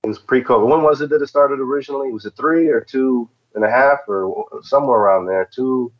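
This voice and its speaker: A man's voice: mid-range voice, fairly quick, highs cut off. A man from the southern United States chatting casually as a podcast co-host. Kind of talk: casual chat.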